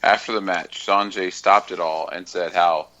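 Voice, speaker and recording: A man's voice: low-pitched, steady tone, moderate pace, bright, forceful tone, no echo. A man co-hosting a wrestling podcast, teasing his co-host in a dry, casual way. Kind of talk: casual chat. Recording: dull lo-fi podcast audio.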